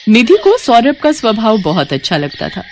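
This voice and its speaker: A woman's voice: fairly high pitch, huge pitch swings, normal speed, slight background noise. A woman narrating a scripted moral story as a storyteller.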